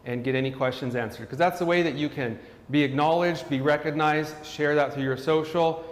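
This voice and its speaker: A man's voice: fairly high pitch, brisk. Upbeat man hosting in an echoey studio. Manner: upbeat, promotional. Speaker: host. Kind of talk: live-stream introduction. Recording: echoey studio with long reverb.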